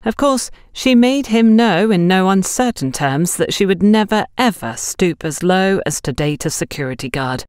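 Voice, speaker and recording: A woman's voice: medium pitch, expressive, moderate pace, hardly any pauses, darkish tone, clean tone, no echo, clean studio sound, voice pushed up, close to an everyday voice. A woman voicing a young saleswoman in an audiobook, chatty, candid and emphatic. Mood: gossipy. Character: young shop saleswoman, candid, animated, gossipy.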